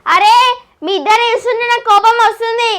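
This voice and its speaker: A young girl acting in a comedy skit as a bossy class leader, scolding her classmates with quick retorts. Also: extremely high pitch, fairly bright tone.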